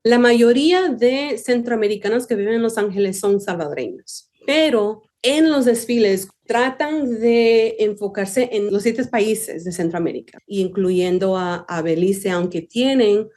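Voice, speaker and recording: A woman's voice: medium pitch, a little slow, few pauses, darkish tone. A woman from New York, a history professor, giving interview answers in a conversational way, often tagging her points with a question. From New York, USA. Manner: conversational, tags statements with questions.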